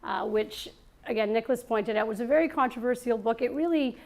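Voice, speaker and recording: A woman hosting a live salon before an audience, introducing her guest and opening the interview in a chatty, halting way with many fillers and restarts. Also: a little high. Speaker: salon host and moderator. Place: event room with an audience.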